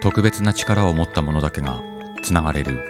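A man's voice: rumbling low, expressive, fairly quick. A man playing a solemn narrator in a dramatic scripted trailer for an audio drama. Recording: prominent mallet music behind voice. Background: music with mallet percussion.

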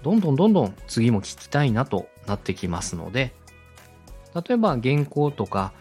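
A man's voice: mid-range voice, very animated, a little fast, darkish tone. A man hosting a podcast, explaining things politely and conversationally and speaking straight to the listener. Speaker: podcast host and radio director giving advice. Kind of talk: explanatory podcast teaching with acted example questions. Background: background music, faint.

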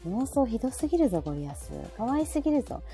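A woman host speaks with a Kansai accent in an easy, conversational style. Her mellow voice swings freely up and down as she reacts and chimes in expressively. Faint music plays underneath. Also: fairly high pitch, fast talker. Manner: expressive, reacting with frequent backchannels. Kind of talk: casual chat.

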